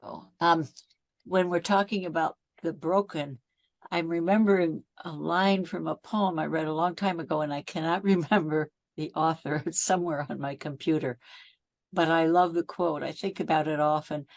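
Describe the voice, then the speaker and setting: A woman's voice: sits low, fairly even intonation, moderate pace, no room sound. A woman from the audience joining a video call, earnest and reflective, recalling a quote. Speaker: audience member. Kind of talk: casual conversation.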